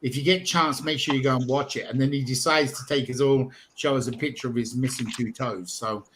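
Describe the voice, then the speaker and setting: A man's voice: medium pitch, fairly even intonation, a little fast, fairly bright tone, slight background noise. A man hosting a live charity video call, chatty and upbeat as he introduces guests. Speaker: livestream host.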